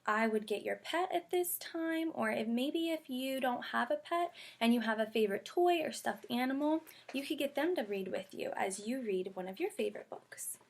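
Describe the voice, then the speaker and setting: A woman's voice: a little high, animated, normal speed, creaky, few pauses. A woman kindergarten teacher giving a read-aloud lesson, speaking simply and instructively and addressing young children directly. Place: bedroom at home.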